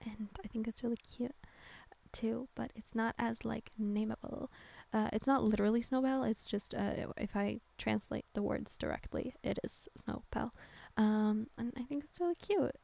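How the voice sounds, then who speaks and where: A woman's voice: fairly high pitch, fairly even intonation, moderate pace, creaky, dark tone. Chatty young woman. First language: Norwegian. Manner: rambling, chatty, self-correcting with many fillers. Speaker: game builder narrating a speedbuild. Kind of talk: casual voice-over chat.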